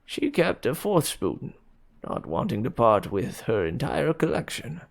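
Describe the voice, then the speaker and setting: A man's voice: medium pitch, sing-song, measured pace, vocal fry, heavily acted. A man voicing a nervous elderly hunchbacked antique dealer who stammers and pleads.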